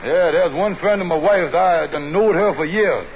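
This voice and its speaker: An actor playing a scheming, smooth-talking middle-aged husband who hems and haws, in 1940s radio comedy. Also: male voice, sits high, normal speed, natural voice. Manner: wheedling, hesitant with many uhs. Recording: dull old radio broadcast with hiss.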